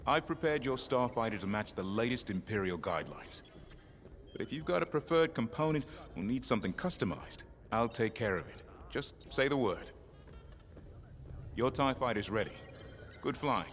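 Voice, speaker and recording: A man's voice: mid-range voice, lively intonation, normal speed, a bit bright, lightly acted. A man playing a dependable chief mechanic sergeant in a video game, practical and plain-spoken. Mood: proud, practical.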